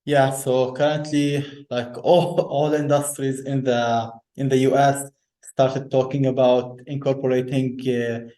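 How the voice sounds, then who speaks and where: Hesitant Lebanese-raised engineer, echoey room. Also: male voice, mid-range voice, flat delivery, a little slow, dark tone. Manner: hesitant, repeats words, laughs lightly. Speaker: guest engineer. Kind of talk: casual interview talk.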